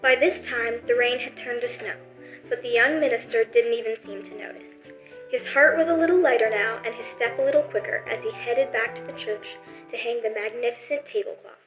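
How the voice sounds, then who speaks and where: A woman's voice: on the high side, fairly quick, fairly bright tone. A woman narrating a scripted story in the manner of an old-time radio show. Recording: roomy, dull recording with faint music.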